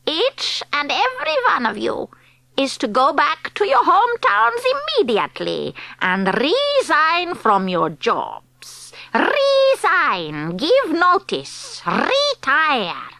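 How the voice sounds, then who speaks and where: A woman's voice: sits high, huge pitch swings, slow pace, gravelly, bright, forceful tone, no echo, full character voice. A woman playing an imperious child-hating witch leader in an audiobook, with a put-on foreign accent, mangled English and a commanding, theatrical delivery that draws words out. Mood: bossy, commanding. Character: Grand High Witch, imperious female villain loathing children. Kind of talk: audiobook character performance.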